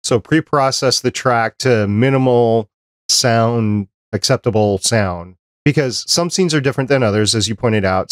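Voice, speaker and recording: A middle-aged man with a lowish voice hosts an interview podcast. He speaks conversationally, in a measured, explanatory way. The sound is dry and close, from a desk mic in a home studio. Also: no room sound. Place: small home studio room, indoors. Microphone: close desk microphone with headphones.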